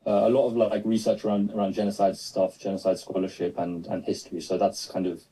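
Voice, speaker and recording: A man's voice: lowish voice, level tone, fast talker, mellow, slightly rough. A man in his twenties from London, talking hesitantly with many fillers as a guest on a video call. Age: 20s. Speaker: guest, new staff member. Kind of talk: casual interview over video call.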